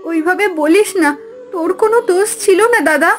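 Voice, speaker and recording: A woman playing a gentle, sorrowful young village sister in an animated folk tale, with soft, tearful pleading. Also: very high voice, normal speed. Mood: gentle, consoling.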